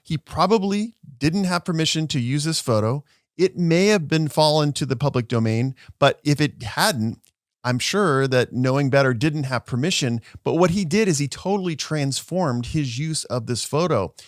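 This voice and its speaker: A man's voice: a little high, animated, normal speed, dry recording, studio quality. A man who works as a social media lawyer, giving an upbeat explainer and walking viewers through video clips.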